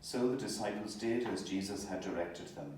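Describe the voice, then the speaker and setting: A man's voice: on the low side, moderate pace, darkish tone. A man narrating a Gospel passage as a member of the clergy, in a solemn scripture-reading style. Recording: very echoey, distant, faint background noise.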